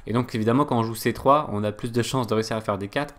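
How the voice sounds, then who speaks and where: A man's voice: medium pitch, fast. A man from France teaching a chess game analysis, talking hesitantly with many fillers and chatty digressions. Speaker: chess coach.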